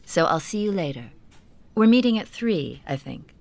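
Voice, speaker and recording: A woman's voice: fairly low pitch, big pitch swings, normal speed, creaky, a little mellow. A woman reading a scripted coursebook dialogue as a businesslike magazine editor.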